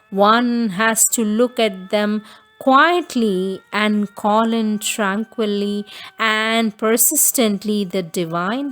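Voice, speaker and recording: A woman reading devotional spiritual writings aloud as scripted text, in measured, deliberate phrases with frequent pauses. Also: mid-range voice. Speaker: reader of spiritual texts.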